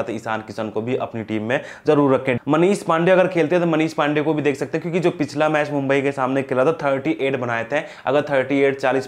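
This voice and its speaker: A young man talking casually to camera about cricket team picks, chatty and direct with viewers, recorded on a lapel mic in a small indoor studio. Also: mid-range voice. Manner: chatty, addresses viewers as brothers. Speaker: cricket fantasy team predictor.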